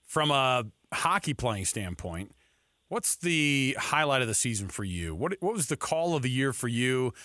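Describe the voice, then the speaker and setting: Bright, forceful male sports radio host. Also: medium pitch, sing-song, measured pace, dry recording, clean studio sound. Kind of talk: casual chat interviewing.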